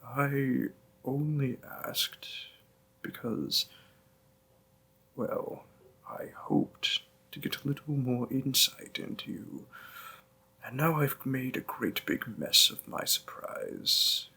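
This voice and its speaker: A man playing a gentle, doting demon boyfriend in an intimate audio roleplay, speaking tenderly in a hushed, husky, creaky voice. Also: medium pitch, expressive, exaggerated voice, poor audio. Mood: soothing, apologetic. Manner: slow, hushed, tender, with pauses.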